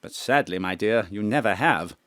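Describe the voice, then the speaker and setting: An actor playing a posh, suave, devoted gentleman spy chief with an eager, flirtatious manner in a comic audio drama. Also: fairly low pitch, lively intonation, moderate pace, no echo. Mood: devoted, wistful.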